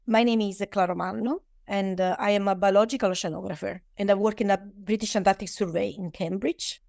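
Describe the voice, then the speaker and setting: A woman's voice: mid-range voice, steady tone, brisk, gravelly, darkish tone, no room sound, studio quality. A middle-aged woman from Italy with an Italian accent, answering interview questions as an oceanographer, with hesitant non-native phrasing and frequent fillers. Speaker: guest, oceanographer.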